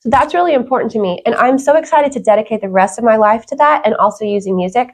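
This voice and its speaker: A woman's voice: fairly high pitch, fast. A woman talking casually as an interview guest, earnest and emphatic in how she makes her points. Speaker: podcast guest.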